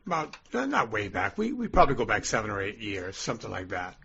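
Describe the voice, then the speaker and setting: A man with a gravelly voice hosting a podcast, joking bluntly and casually with his co-host and guest. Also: medium pitch, huge pitch swings, fast, bright, forceful tone. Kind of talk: casual banter. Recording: dull lo-fi podcast audio.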